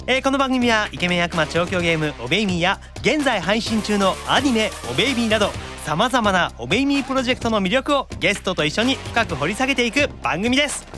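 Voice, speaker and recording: A man with a fairly high, very lively voice hosts an upbeat anime podcast. He is animated and quick with teasing, straight-man retorts, and music plays clearly behind him. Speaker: podcast host and voice actor. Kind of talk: upbeat anime radio show hosting.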